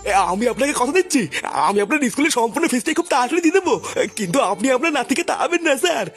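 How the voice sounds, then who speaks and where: A man's voice: very high voice, lively intonation, rapid-fire, fairly bright tone, faint music behind, full character voice. A man with a West Bengal Bengali accent voicing a humble, poor old grandfather in a comedy cartoon, deferential, hesitant and coaxing. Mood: pleading.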